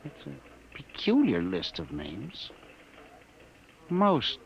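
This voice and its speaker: Timid eager records clerk, very animated pitch, old dull hissy radio drama. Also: male voice, very slow, creaky, heavily acted. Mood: puzzled, musing. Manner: flustered, deferential, stammering. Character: earnest, fussy, timid records clerk with theories. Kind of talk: 1950s radio acting.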